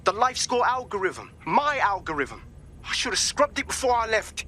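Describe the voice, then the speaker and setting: An actor playing an excitable, slangy hacker with a British accent in a video game. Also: male voice, sits high, normal speed, a little hiss or hum, exaggerated voice. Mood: agitated. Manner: animated, slangy. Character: excitable male hacker, sharp and street-talking.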